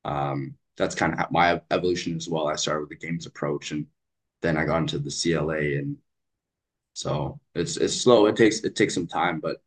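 A man's voice: rumbling low, moderate pace. A man from British Columbia, Canada, a basketball coach talking earnestly as a podcast guest, with frequent fillers and restarts. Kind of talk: casual podcast interview. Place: Vietnam.

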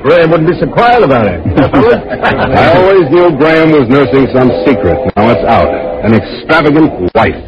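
Lively, higher-pitched teasing commuter, old radio. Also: male voice, moderate pace, hardly any pauses. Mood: needling. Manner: jocular, needling. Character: teasing, hearty married male commuter. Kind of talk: old-time radio drama acting. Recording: muffled old radio recording.

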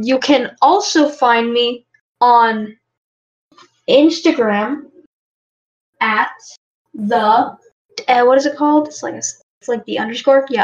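Podcast guest, woman, slow and careful, somewhat high voice, roomy lo-fi sound. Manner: slow, spells things out carefully. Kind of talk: casual podcast chat.

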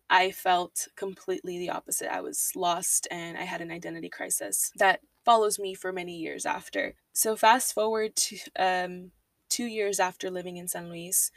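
A woman's voice: medium pitch, no echo, recorded from a distance. A woman in her twenties from Texas, hosting a podcast and candidly telling her life story with emotion. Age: 20s. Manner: candid, emotional, fillers and restarts. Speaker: podcast host. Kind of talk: personal storytelling monologue.

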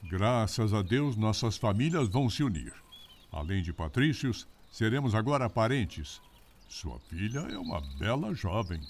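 Greedy, self-satisfied Brazilian squire, fifties, animated old-fashioned speech, radio drama. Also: male voice, fairly low pitch, measured pace. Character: boastful, greedy fifty-year-old male landowner, self-satisfied bridegroom. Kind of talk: radio soap opera acting.

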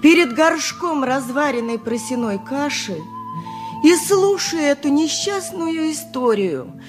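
An actress in a radio play playing the long-suffering, shrewd wife of a poor donkey driver, with a husky voice and a plaintive, wry, emphatic manner. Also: female voice, fairly high pitch, natural voice. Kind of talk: radio play acting, 1980s, dramatic monologue.

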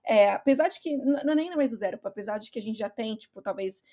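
A woman's voice: fairly high pitch, lively intonation, fast, dark tone. A Brazilian woman with a Brazilian Portuguese accent, hosting a casual chat and steering the questions. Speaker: host.

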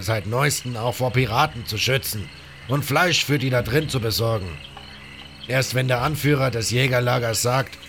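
A man voicing a gruff, suspicious bandit sentry in a fantasy audio story, with blunt, coarse talk. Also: mid-range voice, a bit bright, slight background noise. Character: gruff, suspicious, griping bandit sentry guarding a swamp outpost.

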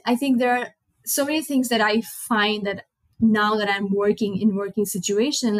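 A woman's voice: mid-range voice, no room sound. A young woman from Nepal with a Nepali accent, a native Nepali speaker hosting a casual solo podcast in English, rambling and correcting herself as she goes. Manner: rambling, self-correcting, chatty. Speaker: podcast host.

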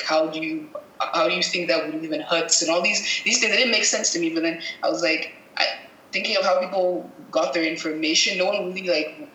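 Bright-voiced woman from Cameroon, rambling. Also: deep voice. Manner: rambling, self-correcting, thinking aloud. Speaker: guest videographer. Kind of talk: casual interview answers. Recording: echoey room with faint noise.

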